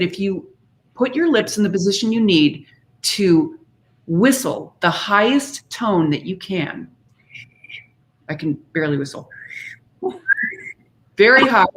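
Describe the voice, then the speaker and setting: Slow-paced woman explaining as interview guest. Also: mid-range voice, a bit bright. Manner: explanatory, demonstrates foreign words by example. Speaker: interview guest, singer and author. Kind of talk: conversational explanation of singing diction.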